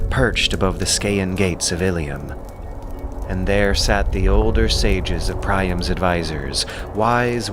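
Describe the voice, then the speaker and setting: Deep voiced guy reading an ancient epic, solemn storyteller, music playing behind. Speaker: audiobook narrator. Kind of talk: scripted epic narration.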